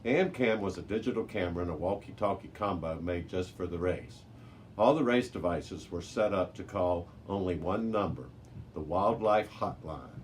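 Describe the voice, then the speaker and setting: A man's voice: low voice, moderate pace. A man reading a children's chapter book aloud as a storyteller, with the odd small stumble over the words. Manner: occasional stumbles and self-corrections while reading. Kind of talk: children's audiobook read-aloud. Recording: noisy amateur recording with background hiss.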